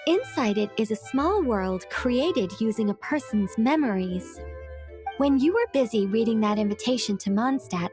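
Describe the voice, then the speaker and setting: Scholarly young game mage, acted by a woman: measured, explanatory, slightly high voice. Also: animated, darkish tone, faint music behind, natural voice. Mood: calm, explanatory. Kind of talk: anime-style game voice acting.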